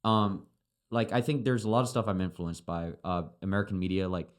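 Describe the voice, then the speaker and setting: A man's voice: deep voice, fairly quick. A man whose first language is Chinese, a filmmaker chatting casually as a podcast guest, rambling with lots of fillers and casual swearing. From China.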